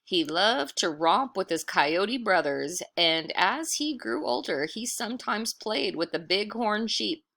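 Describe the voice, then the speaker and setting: A woman who sounds like a teacher, reading a children's story expressively and pausing for chatty explanations. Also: mid-range voice, gravelly. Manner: expressive, encouraging, pauses to explain words and pictures. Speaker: teacher reading aloud to pupils. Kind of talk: animated children's read-aloud with chatty explanatory asides.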